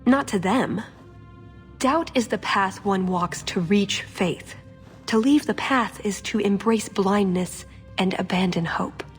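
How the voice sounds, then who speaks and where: A woman playing an earnest, quick-witted, cheeky young elf agent in a video game. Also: mid-range voice, big-room sound, faint music behind, close to an everyday voice. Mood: earnest, reflective. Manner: earnest, lightly playful. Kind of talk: video game character acting.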